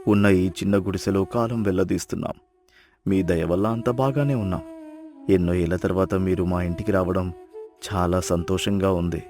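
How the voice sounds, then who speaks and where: A man voicing a poor, kind and self-sacrificing village husband in a moral story, earnest and gentle. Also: sits low, steady tone, moderate pace, soft background music. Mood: resigned, hopeful. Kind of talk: storybook dialogue acting.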